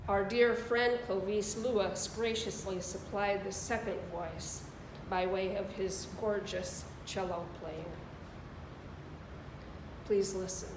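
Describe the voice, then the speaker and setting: A woman speaks as a church service leader, reading to her congregation. Her delivery is slow and measured, at a middling pitch. The room adds a noticeable echo around her voice. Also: fairly even intonation. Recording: roomy, echoing voice recording.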